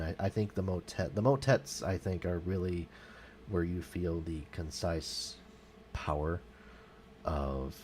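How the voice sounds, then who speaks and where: A man with a very low voice talks through music history in a loose, unscripted way. He fills pauses with ums and often corrects himself mid-sentence. A faint hiss sits under the recording. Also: darkish tone. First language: English. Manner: hesitant, many fillers and self-corrections. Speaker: music vlogger. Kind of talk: casual spoken music commentary.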